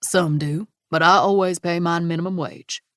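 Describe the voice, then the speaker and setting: A woman's voice: lowish voice, fairly even intonation, normal speed, dry recording, studio quality, lightly acted. A woman voicing an easygoing man who runs a dolphin outing, upbeat and matter-of-fact.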